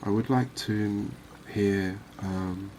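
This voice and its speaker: A man giving relaxed, unhurried, musing ASMR-style gameplay commentary, chatting to his viewers. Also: on the low side, slight background noise. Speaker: host of a cozy fishing game channel.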